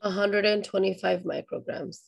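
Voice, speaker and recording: A woman student reading a problem aloud in class, her voice hoarse. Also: mid-range voice, steady tone, normal speed, low-quality recording.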